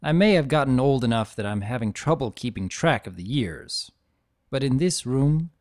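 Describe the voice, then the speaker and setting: A man voicing an aged, gentle dragon in a dramatic reading, reflective and sentimental as he tells his own story. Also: medium pitch, lightly acted. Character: aged dragon, gentle, nostalgic, fondly guarding keepsakes.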